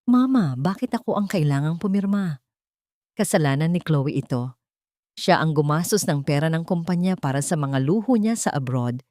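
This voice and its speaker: A woman acting as a betrayed young daughter in a romantic audio drama. Her voice is low and wounded, pleading with bitterness, recorded dry and close. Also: lively intonation, normal speed, mellow, no room sound. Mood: protesting.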